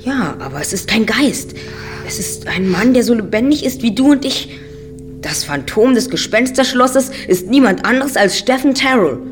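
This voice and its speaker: A woman's voice: on the high side, animated, normal speed, soft background music, lightly acted. A woman playing a self-assured, brainy teenage boy detective in an audio drama, precise and a little pompous. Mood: calm, reasoning.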